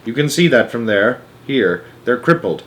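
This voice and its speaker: A man's voice: mid-range voice, very animated, normal speed, a bit bright. A man voicing an eccentric, self-assured male inventor in a storybook, with an emphatic manner. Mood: explaining.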